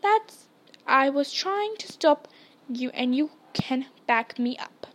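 A woman's voice: sits high, expressive, takes it slowly. A woman voicing a bossy, indignant young schoolgirl in a storybook, snapping and scolding shrilly. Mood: shrill, insistent. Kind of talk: dramatised storybook reading.

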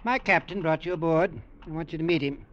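An actor playing a cold, taunting, middle-aged businessman uncle in an old radio drama, with a sneering, deliberate delivery. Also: fairly high pitch, a bit bright, natural voice. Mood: brisk, dry. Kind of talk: 1940s-50s radio drama acting. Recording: dull vintage radio recording.

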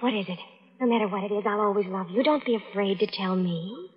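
An actress playing a scheming, composed fiancée, deliberate and theatrical, in an old-time radio suspense drama. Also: female voice, mid-range voice, breathy edge, close to an everyday voice. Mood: coaxing. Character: scheming fiancée, sweetly coaxing, coolly calculating. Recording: dull, lo-fi old radio recording.

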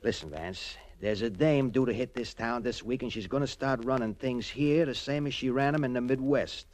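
An American actor playing a chummy, streetwise small-time informer in a 1940s radio crime drama, with slangy underworld talk. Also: male voice, medium pitch, moderate pace, darkish tone, lightly acted. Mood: confiding. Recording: old 1940s radio transcription.

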